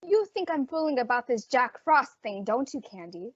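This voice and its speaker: A woman's voice: high-pitched, big pitch swings, normal speed, no echo. A woman playing an earnest, frazzled department store advertising executive in an old-time radio drama. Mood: exasperated, pleading. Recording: dry, dull lo-fi audio.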